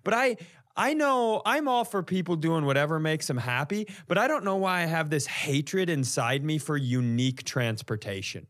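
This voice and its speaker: A man's voice: fairly high pitch, animated, moderate pace, a bit bright. A man co-hosting a podcast, telling casual comic stories in a blunt, exasperated, sweary way. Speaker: podcast co-host. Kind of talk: casual comedic storytelling.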